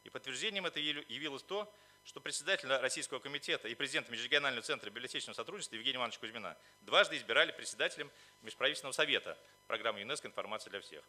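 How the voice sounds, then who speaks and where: A man's voice: medium pitch, rapid-fire, sharp tone. A man delivering a formal, official prepared speech as a conference speaker. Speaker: conference speaker, representative of the organizers. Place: conference hall at a library.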